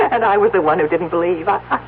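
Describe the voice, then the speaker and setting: A woman acting an emotional, anxious, loving farmhouse wife, halting and exclamatory, in a 1970s radio drama. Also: lowish voice, a little hiss or hum, natural voice. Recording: muffled, humming old radio recording.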